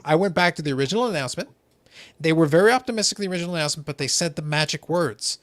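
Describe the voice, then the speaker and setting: A man who speaks a little higher than most, hosting a casual hobby chat. He explains with animation and often repeats a phrase as he gets going. The sound is dry and close. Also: very fast, dry recording. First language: not English. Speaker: podcast host.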